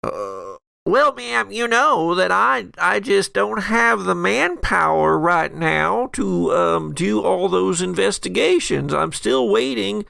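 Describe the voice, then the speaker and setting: A man's voice: sits high, big pitch swings, slow, creaky, hardly any pauses, sharp tone, dry recording, pitched above the performer's own voice, full character voice. A man voicing a hapless, flustered small-town deputy in a nasal, pinched voice, hesitant and stammering. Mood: uneasy, evasive.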